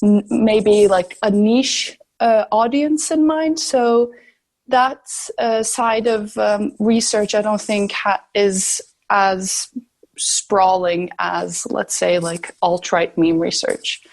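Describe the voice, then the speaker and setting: A woman's voice: medium pitch, fairly even intonation, slow, a little mellow. Female, young adult, academic podcast guest. Mid-range voice, conversational, thoughtful, hesitant delivery. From Turkey, grew up in the Balkans. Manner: thoughtful, hesitant, searching for words. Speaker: podcast guest, sociology researcher. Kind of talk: conversational interview answers.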